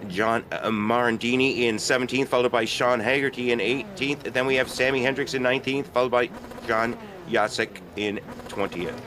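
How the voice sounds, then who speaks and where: A man giving measured color commentary on a sim race, reading grid positions and pausing with "uh". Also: mid-range voice, steady tone. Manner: measured, matter-of-fact, with frequent "uh" pauses. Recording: noticeable background noise.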